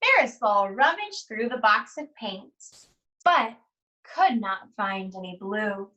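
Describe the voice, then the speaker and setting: A woman teacher reading a children's storybook aloud, with deliberate, expressive phrasing and pauses. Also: medium pitch, recorded from a distance, low-quality recording.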